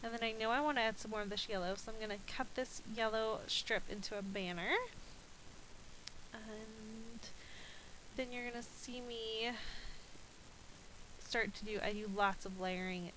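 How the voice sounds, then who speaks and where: A woman's voice: mid-range voice, steady tone, moderate pace, a bit bright, slight background noise, low-quality recording. A woman with a slightly stuffy, nasal voice from a cold, chatting casually with frequent ums as she narrates a voice-over about her crafting. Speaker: scrapbooking video creator.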